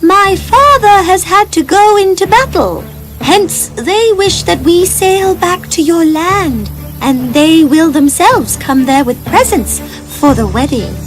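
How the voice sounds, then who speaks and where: A woman's voice: lively intonation, takes it slowly, heavily acted. A woman voicing a witch disguised as a young princess in a storybook, affected and dramatic. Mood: falsely sweet. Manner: affected, high-voiced, dramatic. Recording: music behind the voice.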